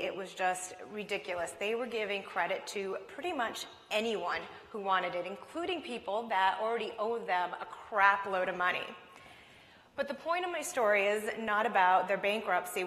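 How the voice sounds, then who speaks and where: A woman's voice: mid-range voice, fairly bright tone. A woman from Vermont giving a conference presentation, telling a story in a conversational, upbeat way. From Vermont, USA. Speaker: conference speaker. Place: conference hall. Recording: long hall reverb.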